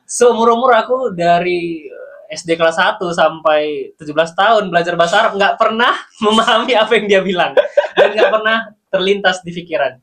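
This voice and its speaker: A man with an Indonesian accent, a guest on a casual podcast, telling about his time as a student in Sudan with lively, laughing storytelling. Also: high voice, brisk. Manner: animated storytelling, laughs while recounting.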